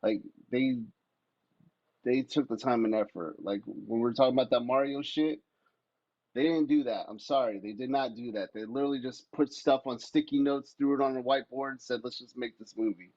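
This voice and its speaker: Male podcast co-host, average pitch, blunt and sweary, dry but dull-sounding mic. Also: fairly even intonation, normal speed, darkish tone, no echo. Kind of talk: casual opinionated podcast debate.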